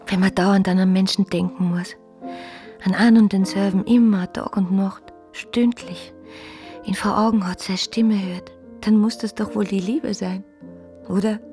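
A woman's voice: mid-range voice, steady tone, normal speed, warm tone, faint music behind, natural voice. An actress in a radio play voicing an earnest, probing woman in love with a married man, speaking intimately and insistently. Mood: tender, searching. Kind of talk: German-language radio play acting.

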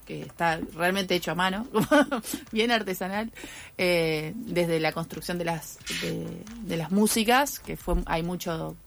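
A woman's voice: on the low side, measured pace, gravelly, faint music behind. A woman musician, a guest on a radio show, easygoing and hesitant with lots of fillers. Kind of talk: radio interview. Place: radio studio.

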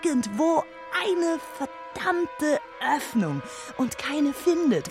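A woman playing a bitter, furious, anguished figure in an intense literary radio monologue, deliberate and insistently repetitive. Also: a little high.

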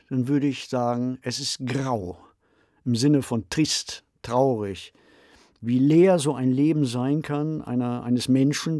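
Male co-host, mid-range voice, measured reflective discussion, dry studio sound. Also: medium pitch, a little mellow, no room sound. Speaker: podcast co-host. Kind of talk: reflective discussion of a radio play.

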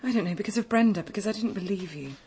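A woman's voice: mid-range voice, very fast, warm tone, slight background noise, close to an everyday voice. An actress playing an anxious, vulnerable young woman in a radio drama, with an uneasy, pleading manner. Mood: frightened.

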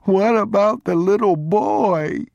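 A man's voice: mid-range voice, moderate pace, a little mellow, no room sound, dull audio, voice pushed up, lightly acted. A man voicing a frightened young boy, tearful, stubborn and tender, in an audiobook story. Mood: sobbing, pleading. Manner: pleading, plaintive, childlike questions.

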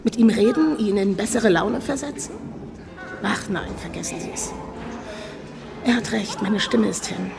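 An actress in a German radio drama playing a direct, world-weary singer with a worn, croaky voice. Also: female voice, medium pitch, animated, measured pace, pauses often. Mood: forward, resigned.

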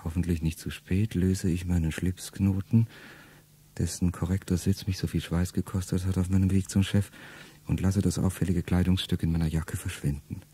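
A middle-aged man speaking a first-person radio play monologue in a low, intimate way that often sinks to a whisper. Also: little pitch movement, normal speed, mellow, husky.

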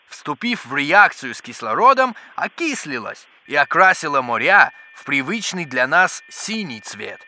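An actor playing an excitable, pedantic scientist in a children's educational audio drama, with theatrical, exclamatory delivery. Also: male voice, fairly high pitch, a little hiss or hum, exaggerated voice. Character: excitable, bookish male scientist, absorbed in microbes. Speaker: scientist character.

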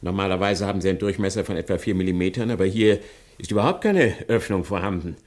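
An actor playing an older learned professor and father in a German radio play, speaking in a precise, deliberate and sometimes hesitant way. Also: deep voice, darkish tone, lightly acted. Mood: puzzled, intrigued.

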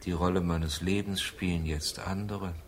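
Male actor as weary forgetful man, deep voice, slow resigned radio drama delivery, faint background noise. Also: fairly even intonation, lightly acted. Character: weary dead man whose memory is used up.

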